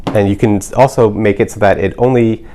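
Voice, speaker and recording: A man's voice: lowish voice, steady tone, a little fast, warm tone, slight background noise. A man demonstrating a product, explaining it step by step in an instructional way.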